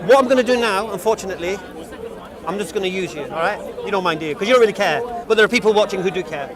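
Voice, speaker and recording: Man, forties, from England; pushy street preacher, high and rapid, crowd noise behind. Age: 40s. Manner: insistent, repeats himself, leading questions. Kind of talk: street debate.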